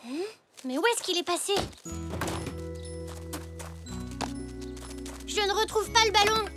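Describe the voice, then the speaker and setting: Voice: extremely high pitch, expressive, fast talker. An actor voicing a playful, confident little zebra child in a French children's cartoon, with music behind. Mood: curious.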